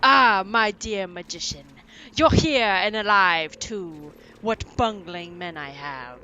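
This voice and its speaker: A woman's voice: medium pitch, animated, takes it slowly, sharp tone, dull audio. A woman playing a grandiose, mocking supervillain bent on conquest in a pulp audio drama. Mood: smug, mocking.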